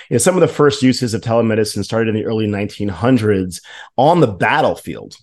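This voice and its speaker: A man's voice: lowish voice, darkish tone. A man hosting a podcast, explaining background in a semi-scripted way. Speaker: podcast host.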